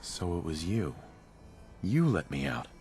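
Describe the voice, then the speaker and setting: A man's voice: low-pitched, big pitch swings, measured pace, darkish tone, slight background noise. An actor playing a guarded male wasteland survivor in a video game, with terse, understated delivery. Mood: subdued. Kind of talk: video game cutscene acting.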